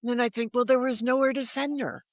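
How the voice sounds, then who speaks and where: A woman's voice: a little high, fairly even intonation, fast, no room sound, studio quality. An American woman in her seventies chatting in a casual interview, anecdotal and emphatic in how she talks. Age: 70s. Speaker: podcast guest, novelist.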